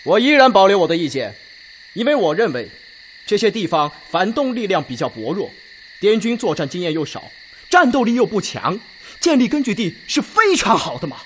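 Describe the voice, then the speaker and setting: A stubborn dissenting officer in a radio drama. His high voice is insistent and very animated, with faint night insects in the background. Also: fairly quick, a little hiss or hum. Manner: insistent, opinionated.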